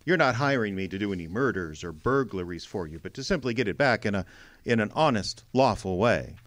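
A man's voice: fairly low pitch, lively intonation, brisk, fairly bright tone. An American man playing a wry, hard-boiled private detective in a noir radio drama, blunt and slangy. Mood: cool, probing.

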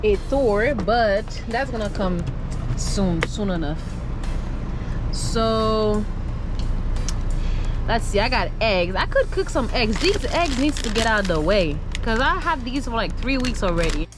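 A young woman chatting casually and in an animated way to her viewers as a truck-driving vlogger. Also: on the high side, measured pace, rough recording. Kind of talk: casual vlog chat. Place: inside a semi truck cab. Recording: loud music behind the voice.

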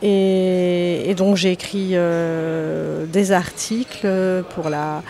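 A woman in her thirties from Lebanon, an author answering podcast interview questions with many pauses, fillers and restarts. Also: lowish voice, steady tone, creaky, dark tone. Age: 30s. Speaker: author guest.

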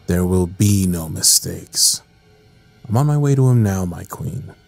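Man acting a husky, sadistic evil scientist. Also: deep voice, animated, soft background music, full character voice. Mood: obedient, sinister. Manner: theatrical, taunting, measured. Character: sadistic, mocking evil scientist, obedient to his queen. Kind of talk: audio drama acting.

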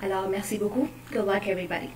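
A woman's voice: mid-range voice, steady tone, rapid-fire, darkish tone. A young adult woman hosting an event and making an introductory announcement. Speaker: event host. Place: meeting room indoors. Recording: echoey room, slightly distant, faint noise.

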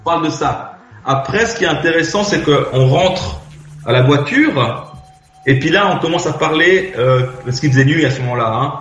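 A man's voice: fairly high pitch, animated, normal speed, a bit bright. A man from Switzerland, a radio phone-in caller, chatting casually and telling his story hesitantly as he searches for words. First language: French. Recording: muffled, echoey internet call, music behind. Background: music.

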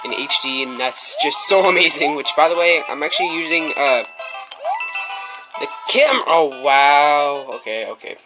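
A male gameplay commentator chatting casually in an excitable, rambling way with lots of fillers, slightly stuffy with a runny nose, recorded on a camera microphone. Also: a little high, normal speed, rough recording. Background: loud game music.

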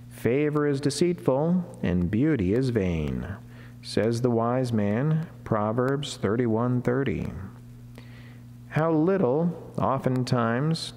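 A man's voice: mid-range voice, a little mellow. Man reading solemnly in a reverberant chapel. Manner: formal, measured delivery with deliberate pauses. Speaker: Franciscan friar giving a daily saint reflection. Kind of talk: solemn read sermon, devotional reading. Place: wood-panelled chapel, indoors, at a lectern. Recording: long church reverb, clear background noise, hum.

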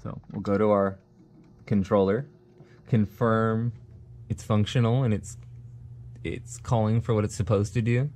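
Low-voiced man talking through a demo. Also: steady tone, measured pace, pauses often, darkish tone. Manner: casual, step-by-step, thinking aloud with fillers. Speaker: HVAC technician instructor. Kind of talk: hands-on troubleshooting demo. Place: workshop bench with a test board.

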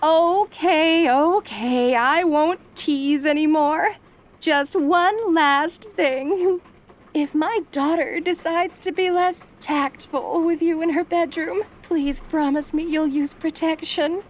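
A woman's voice: sits high, slow pace, lo-fi sound. A woman playing a cheerful, doting yandere mother who teases in a sugary, coaxing way in an audio roleplay. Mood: playful teasing.

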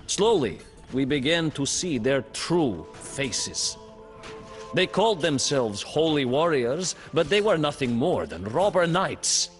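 Slow, grave male storybook narrator. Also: mid-range voice. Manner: grave, measured storytelling with dramatic emphasis. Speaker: campaign narrator. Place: studio voice-over. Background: faint music score.

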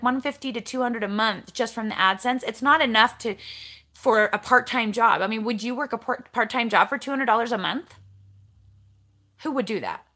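A woman in her forties with a raspy voice, chatting casually to the camera as she answers viewer comments and rants a little, recorded up close in her bedroom. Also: fairly high pitch. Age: 40s. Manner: animated, ranty. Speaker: vlogger. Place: bedroom at home, indoors. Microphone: camera close in front.

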